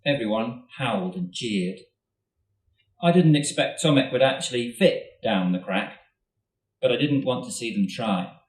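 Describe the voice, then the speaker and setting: Man reading his story in a roomy hall. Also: medium pitch. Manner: steady, measured storytelling. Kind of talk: live short story reading. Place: literature festival event hall. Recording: roomy hall, mic at a distance.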